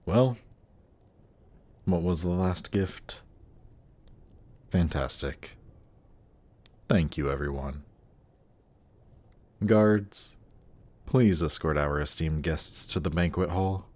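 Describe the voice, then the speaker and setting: Man playing a very deep, suave demon prince. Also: darkish tone. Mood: courtly, commanding. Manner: polished, courtly, condescending, measured. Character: suave, haughty demon prince ruling a circle of Hell. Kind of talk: scripted fantasy roleplay audio drama.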